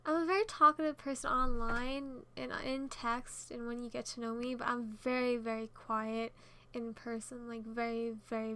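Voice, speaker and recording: High-pitched, chatty teenage girl vlogger. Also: sharp tone. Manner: chatty, giggly, self-conscious and digressive. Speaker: YouTube gamer narrating a speed build. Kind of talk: casual rambling voiceover chat.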